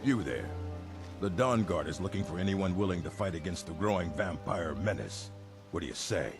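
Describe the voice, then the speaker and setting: A man's voice: low voice, expressive, normal speed, over music. A man playing a grim, resolute vampire hunter recruiter in a fantasy video game, speaking sternly and formally. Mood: stern resolve.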